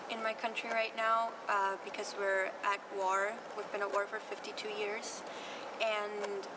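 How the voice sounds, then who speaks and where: 18 yo woman, halting flat answers, far off mic, loud music behind. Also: medium pitch, very noisy. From Colombia. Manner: hesitant, with many pauses and fillers. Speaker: interviewee. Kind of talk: interview answer. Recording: distant voice over loud music.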